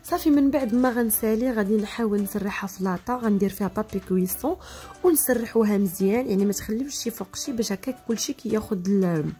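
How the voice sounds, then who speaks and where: Brief: young Moroccan-accented woman, cooking-channel host, quick and chatty, music faintly behind. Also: medium pitch, dark tone. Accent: Moroccan Arabic. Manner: chatty, practical, talks straight to viewers. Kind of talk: voice-over cooking tutorial.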